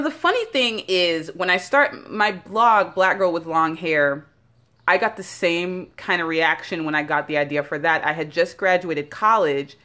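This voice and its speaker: Low-voiced chatty woman vlogger. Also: a bit bright. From Jamaica. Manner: chatty and rambling, with many fillers. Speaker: founder of a beauty brand. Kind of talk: casual vlog talk. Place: bathroom by a bright window. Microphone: phone held at arm's length.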